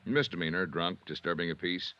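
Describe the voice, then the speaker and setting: Deep, terse police sergeant. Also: male voice, brisk, pushed and bright. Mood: matter-of-fact. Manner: clipped, matter-of-fact. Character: businesslike, terse New York police sergeant. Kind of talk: 1950s radio drama acting. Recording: muffled old radio recording, faint noise.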